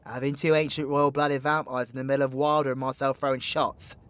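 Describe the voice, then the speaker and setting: A man's voice: mid-range voice, little pitch movement, brisk, a bit bright, clear, resonant tone, natural voice. A British man playing a cocky, foul-mouthed, joking young warlock in an audio roleplay, with a cheeky, slangy delivery. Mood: serious, insistent.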